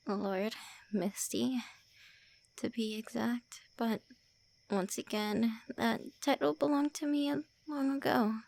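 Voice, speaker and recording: A woman's voice: fairly high pitch, gravelly, darkish tone. A woman voicing a young-looking female vampire lord who is secretly shy and calls the listener names. She whispers and stammers in an ASMR roleplay. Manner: whispery, stammering, brusque teasing. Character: tsundere female vampire lord, centuries-old, looks early twenties, flustered, insulting.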